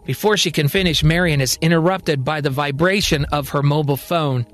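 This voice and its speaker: A male storyteller delivers scripted science-fiction narration. His voice sits high for a man, with music playing underneath as he tells the story dramatically. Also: a little fast, fairly bright tone.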